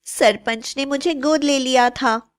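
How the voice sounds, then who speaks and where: Righteous young bride, high-pitched, very slow, earnest, fearless, declamatory, cartoon fairy tale. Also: female voice, clean tone. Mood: accusing.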